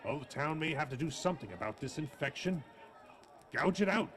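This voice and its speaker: A man's voice: on the high side, animated, a little fast, a bit bright, a faint crowd. A man playing a snobbish, hostile townsman full of disgust in an old-time radio drama.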